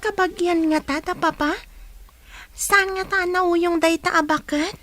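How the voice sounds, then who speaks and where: Very high-pitched curious little child. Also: female voice, steady tone, measured pace, a bit bright, soft background music. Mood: curious, hungry. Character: curious young village child, trusting younger sibling. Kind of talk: Ilocano radio drama acting.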